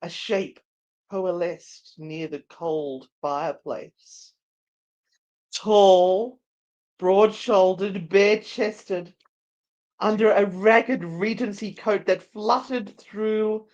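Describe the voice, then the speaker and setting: Female narrator for paranormal romance, medium pitch, unhurried, theatrical delivery. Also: darkish tone, lo-fi sound. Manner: deliberate, theatrical. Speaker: narrator and co-host. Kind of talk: dramatic story narration, live read.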